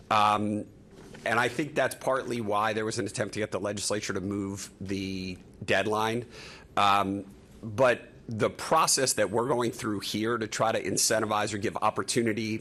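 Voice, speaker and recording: Low-voiced man answering at a podium. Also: fairly bright tone. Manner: hesitant, many fillers and restarts. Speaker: county staff member. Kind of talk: answering questions at a hearing. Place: county hearing chamber. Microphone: podium microphone.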